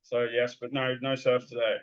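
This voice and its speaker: A man's voice: medium pitch, little pitch movement, moderate pace, highs cut off. A man with an Australian accent, a guest telling his story in a loose, rambling way with lots of ums and restarts. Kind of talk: casual interview talk.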